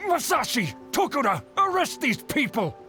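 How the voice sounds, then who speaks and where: An actor playing a shady older merchant in a game, bossy, defensive and dismissive. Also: male voice, very high voice, huge pitch swings, normal speed, pushed and bright, soft background music, full character voice. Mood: commanding. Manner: blustering, commanding, dismissive.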